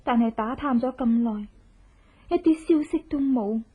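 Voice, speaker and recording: An actress with a Hong Kong Cantonese accent plays a determined, desperate widow bent on revenge in an intense, melodramatic horror radio drama. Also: female voice, a little high, normal speed, husky. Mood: brooding, frustrated. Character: vengeful widow in hiding, determined, desperate, posing as beggar. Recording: muffled lo-fi radio drama recording.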